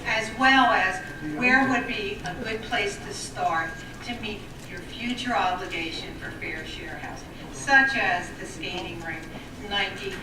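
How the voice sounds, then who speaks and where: A woman giving public comment at a township council meeting, pressing pointed questions with halting restarts and a big voice. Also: mid-range voice. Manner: says she has a big voice. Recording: echoey room, distant mic, background noise.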